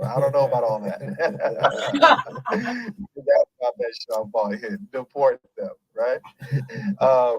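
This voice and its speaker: A man hosting a casual commentary show, talking in an animated, emphatic and rambling way and laughing often. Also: mid-range voice, slightly rough, no echo, highs cut off. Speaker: host.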